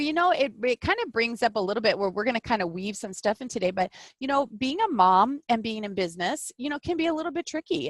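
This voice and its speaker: A woman's voice: a little high, fairly bright tone, no echo. A woman hosting a live-streamed podcast interview, bubbly and gushing, often laughing. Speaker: podcast host.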